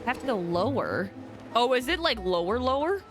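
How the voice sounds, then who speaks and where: A woman livestreaming a game, casually commenting on play and chatting to viewers with rambling, expressive asides. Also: on the high side, normal speed, over music. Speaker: game streamer.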